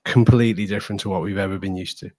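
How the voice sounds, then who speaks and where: Low-pitched man, emphatic football pundit. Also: fairly even intonation, rapid-fire, darkish tone. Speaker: football podcast guest, fan pundit. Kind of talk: casual opinionated football chat.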